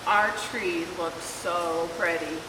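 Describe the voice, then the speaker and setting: A woman reading a children's picture book aloud in an expressive, deliberate storytelling manner. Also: on the low side. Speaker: volunteer story reader. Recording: echoey hall, distant mic, clear hiss.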